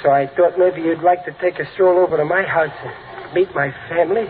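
A man acts out a middle-aged American father from a golden-age radio comedy, bumbling and kind-hearted. His voice sits on the high side and stumbles through folksy, ungrammatical sentences. The recording is thin and tinny with heavy noise. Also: steady tone, brisk, lightly acted. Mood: gentle, chatty. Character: bumbling, good-hearted working-class father, easily spooked. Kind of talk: 1940s-50s radio comedy acting.

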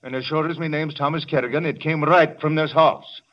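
A blustery old neighbor, played by a man, coaxing and needling in a folksy brogue, his voice sitting a touch high. It comes through a dull, lo-fi old radio broadcast. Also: fairly even intonation, a little fast, lightly acted. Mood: insistent. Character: blustery nosy older Irish neighbor man. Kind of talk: 1940s radio acting.

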